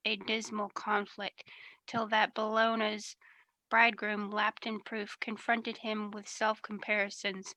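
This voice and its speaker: A woman's voice: medium pitch, creaky, sharp tone, dry recording. A woman playing a noble Scottish thane who declaims battle news to a king in a Shakespearean radio play.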